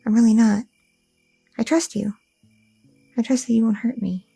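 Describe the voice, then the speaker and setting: A woman voicing a sweet, anxious young goth girlfriend in an ASMR roleplay, talking softly and a little hesitantly, close to the mic. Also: a little high, fairly even intonation, moderate pace, gravelly, breathy edge, soft background music. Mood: earnest. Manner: soft, affectionate, a little hesitant, with fillers.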